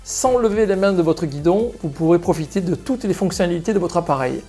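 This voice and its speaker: Male product presenter, mellow and creaky delivery, explanatory, addressing viewers over background music. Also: high-pitched, animated, a little fast. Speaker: motorcycle shop product presenter. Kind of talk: product presentation, semi-scripted.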